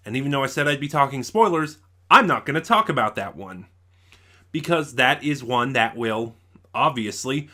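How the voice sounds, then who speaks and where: Bright-voiced man giving a casual review. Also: medium pitch. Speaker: online reviewer, show host. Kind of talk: casual spoiler review of an audio drama.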